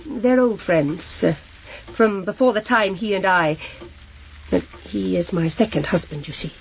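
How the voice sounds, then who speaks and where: An actress playing a shocked, hesitant, proper middle-aged housewife who has been widowed, in a naturalistic radio drama. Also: female voice, medium pitch, creaky, a little hiss or hum. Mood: shocked, bewildered. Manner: halting, flustered, repeats herself. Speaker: actor in a crime drama.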